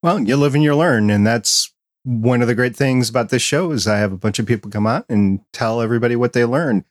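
Male podcast host, mid-range pitch, deliberate casual delivery, very dry room sound. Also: no room sound. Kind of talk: casual interview chat.

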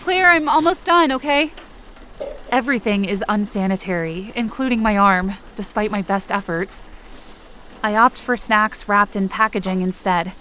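A woman's voice: mid-range voice, normal speed, sharp tone, a little hiss or hum, lightly acted. A woman playing an anxious, injured young camp counselor, narrating a first-person audio drama in a tense, dramatic way. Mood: worried calling. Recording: rain and ambience behind the voice. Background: rain and outdoor ambience effects.

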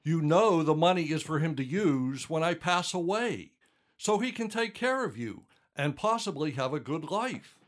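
A man's voice: on the high side, animated, moderate pace, lightly acted. An actor playing a stubborn, devout old blind father in an audio drama. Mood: exasperated.

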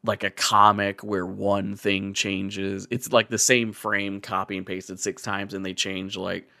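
A man's voice: lowish voice, little pitch movement, a little slow, gravelly, sharp tone, no room sound, studio quality. A man hosting a podcast, talking casually and comedically with a wry, profane streak. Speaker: podcast host.

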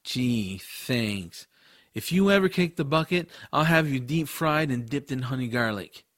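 A man's voice: medium pitch, animated, a little slow, fairly bright tone, no echo. Man playing a casual boy hero, dry recording. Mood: amazed, teasing. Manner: casual, boyish phrasing. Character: excitable, casual boy hero narrating his fantasy adventure. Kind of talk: first-person audiobook narration and drama.

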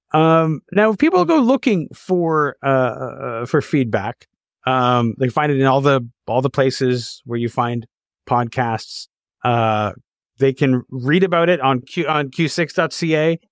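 A man hosting a conversational podcast interview, halting as he searches for words. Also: mid-range voice, dry recording, clean studio sound.

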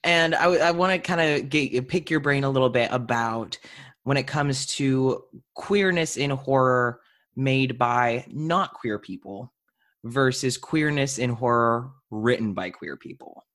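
Young guy hosting a podcast, casual chat, medium pitch. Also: a little slow, fairly bright tone. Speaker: podcast host. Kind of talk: casual conversational interview.

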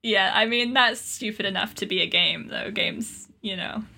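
A woman speaks in a casual, comedic podcast style. Her voice is bright and forward, at a middling pitch. She comes across as informal and wry. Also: moderate pace, gravelly. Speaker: podcast panelist.

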